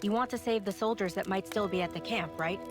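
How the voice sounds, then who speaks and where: A woman's voice: mid-range voice, fast, lightly acted. A woman playing a composed, dutiful ally in a fantasy video game cutscene. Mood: resolute. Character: composed, dutiful female rune hunter ally. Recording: very loud music behind.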